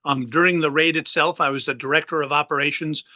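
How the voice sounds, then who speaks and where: A man's voice: on the high side, steady tone, quick pace, bright, forceful tone, no echo, lo-fi sound. A man in his fifties, a retired naval officer, giving an interview and recounting events with many fillers and restarts. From United States. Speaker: guest.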